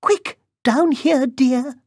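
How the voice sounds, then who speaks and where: A storyteller voicing a wary, quick-thinking old grandmother from a fairy tale, with an urgent, knowing manner. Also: high-pitched, darkish tone, slightly rough, no echo, studio quality, voice pushed up, exaggerated voice. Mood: urgent whisper.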